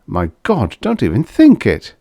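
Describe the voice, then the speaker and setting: A man's voice: on the low side, wildly swooping pitch, measured pace, gravelly, dark tone, no room sound, studio quality, exaggerated voice. A man voicing a stiff, self-important older scientist in an audiobook story, speaking formally and earnestly.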